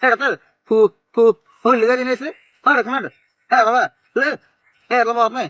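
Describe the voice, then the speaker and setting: A man, playing a naive villager in a scripted phone comedy. He rambles and breaks into sudden loud outbursts. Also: very high-pitched, fairly even intonation, moderate pace, no echo, dull audio.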